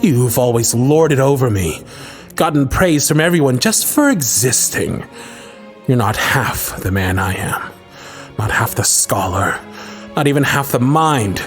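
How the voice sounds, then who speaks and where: A man's voice: mid-range voice, expressive, unhurried, faint music behind, close to an everyday voice. A man playing a bitter, scornful younger prince in a fantasy audio drama, brooding and theatrical, full of contempt.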